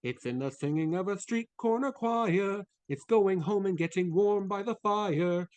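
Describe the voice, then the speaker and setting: A man's voice: sits high, a little slow, a little mellow, smooth, dry recording. A man playing a jolly, kindly Christmas spirit in a live dramatic reading, hearty and festive. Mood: joyful singing. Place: remote video call at home. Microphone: video call microphone.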